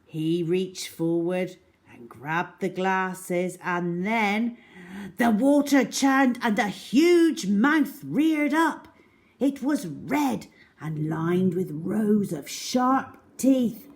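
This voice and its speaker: A woman narrating a children's story in an expressive, scripted read-aloud style. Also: mid-range voice, very slow.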